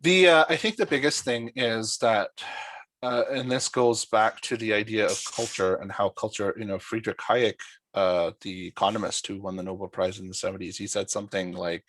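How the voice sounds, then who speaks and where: A man's voice: on the low side, fairly even intonation, moderate pace, a bit bright. A young man from Dubai, a podcast guest talking casually and explaining his points step by step, often checking in with "right?". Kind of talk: casual conversational interview.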